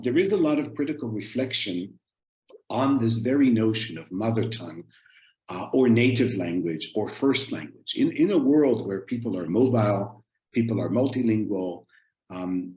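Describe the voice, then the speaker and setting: A man's voice: fairly low pitch, darkish tone. A man moderating an online panel, talking with many fillers and restarts over a video call. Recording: echoey room on a video call.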